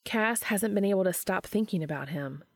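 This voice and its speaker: A woman narrating fiction as an audiobook reader, in an expressive storytelling style. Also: medium pitch, moderate pace, no room sound.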